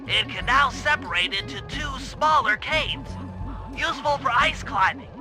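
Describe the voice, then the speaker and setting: A man's voice: extremely high pitch, expressive, unhurried, over music. A man voicing a brainy, nerdy mission planner in a video game, with precise, wordy, formal phrasing. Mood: explanatory, keen.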